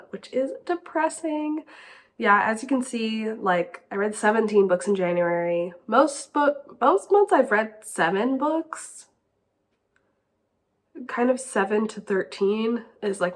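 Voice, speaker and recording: A young woman with a stuffy, congested nose chats casually as host of her book channel, giggling and saying "like" often. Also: medium pitch. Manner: chatty, giggly, says "like" often. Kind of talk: casual vlog chat.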